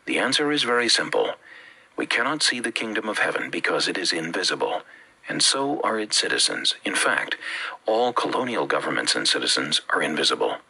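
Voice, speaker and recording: A man from the Bahamas with a Bahamian accent, reading a religious teaching with measured, emphatic phrasing. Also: deep voice, big pitch swings, gravelly, bright, forceful tone, husky, poor audio. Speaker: preacher. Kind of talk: read-aloud religious teaching.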